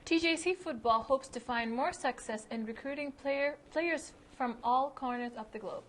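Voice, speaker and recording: Brief: female student news anchor, somewhat high voice, steady studio read. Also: moderate pace. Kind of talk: scripted news reading. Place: college TV studio.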